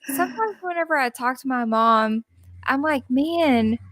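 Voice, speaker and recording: A woman's voice: high-pitched, lively intonation, measured pace, a bit bright, no echo. A young woman co-hosting a podcast, teasing and telling stories in casual chat with lots of laughs. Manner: teasing, giggly storyteller. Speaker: podcast co-host.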